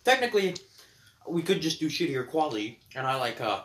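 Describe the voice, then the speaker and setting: A man's voice: fairly high pitch, sing-song, a little fast. Teenage guy, rambling podcast chat, lots of fillers, roomy echo, faint hiss. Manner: rambling, lots of "like" and "um", dry jokes. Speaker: podcast co-host. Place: his house.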